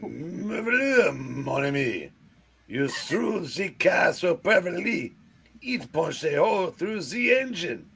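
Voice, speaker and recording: A man's voice: fairly high pitch, vocal fry, fairly bright tone, slightly rough, heavily acted. A man voicing a pompous, vengeful French villain with a French accent, grandiose and theatrical, reading a comic book aloud. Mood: gloating, grandiose. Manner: grandiose, theatrical, stilted phrasing. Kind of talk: hammy comic-book read-aloud performance.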